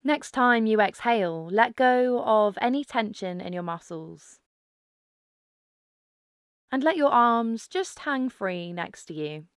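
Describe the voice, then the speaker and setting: Female meditation guide: clear, smooth tone, very measured pace, calm instructive delivery. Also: on the high side, dry recording, clean studio sound. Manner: calm, instructive, unhurried with pauses. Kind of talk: guided mindfulness meditation narration.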